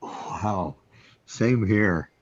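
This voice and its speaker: Man acting radio serial male, husky, lowish pitch, measured slow delivery, dull lo-fi sound. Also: no room sound. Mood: matter-of-fact. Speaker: announcer and actor. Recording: dull lo-fi recording.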